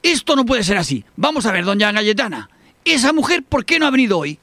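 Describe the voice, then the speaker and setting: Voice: mid-range voice, sing-song, a little fast, fairly bright tone, no echo. An actor playing a greedy, scheming, sarcastic eldest heir in a melodramatic radio soap. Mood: outraged. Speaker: actor in an amateur radio drama.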